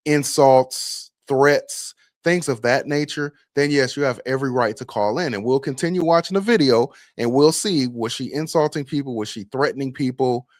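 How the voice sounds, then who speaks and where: A man's voice: mid-range voice, no room sound. American man, casual commentary, dry close sound. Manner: opinionated, rhetorical questions, emphatic. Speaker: bus operator and video host.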